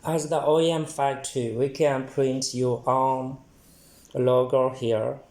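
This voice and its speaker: A man presents a product hands-on, reading items out in short, halting phrases. His voice is mellow and dark at a middling pitch. He takes his time, with long unhurried pacing. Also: flat delivery. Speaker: product demonstrator.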